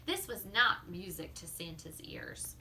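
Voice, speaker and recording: Lady reading kids a picture book, slow n really swoopy, expressive, little bit of noise behind her. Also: on the low side. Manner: expressive, drawn-out delivery with hushed asides. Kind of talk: animated children's story read-aloud with asides.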